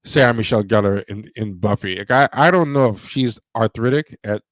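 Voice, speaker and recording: A man's voice: fairly low pitch, fairly quick, no room sound. A man hosting a casual livestream, reviewing movies in a rambling, opinionated way with lots of fillers and restarts. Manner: reads viewer donations aloud. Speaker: podcast host.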